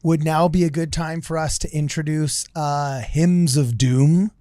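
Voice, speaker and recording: A man's voice: a little high, fairly even intonation, unhurried, dark tone, no room sound. A man bantering casually as a podcast panelist, joking and using plenty of slang.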